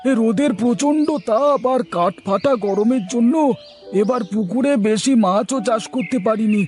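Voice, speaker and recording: A meek cartoon fisherman, voiced by a man, whines his excuses in a very high, thin voice. Soft background music plays beneath him. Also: moderate pace. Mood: complaining. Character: male village fisherman, humble and complaining.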